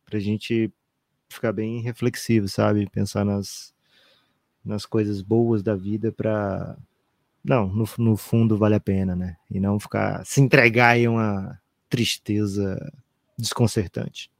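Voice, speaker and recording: Gravelly podcast co-host man. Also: fairly low pitch, flat delivery, unhurried, dry recording. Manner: conversational, peppering lines with tag questions. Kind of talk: casual sports podcast chat.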